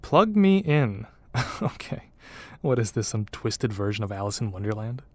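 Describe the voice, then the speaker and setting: A man's voice: lowish voice, big pitch swings, normal speed, a little mellow, no room sound. Curt, skeptical male reporter. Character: male New York newspaper reporter, skeptical, curt, profane. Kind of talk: audio drama acting.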